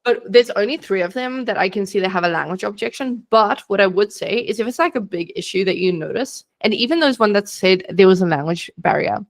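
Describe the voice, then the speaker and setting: A woman's voice: medium pitch, a little fast, vocal fry, hardly any pauses. A young woman giving direct, practical business coaching on a video call, casual and plain-spoken.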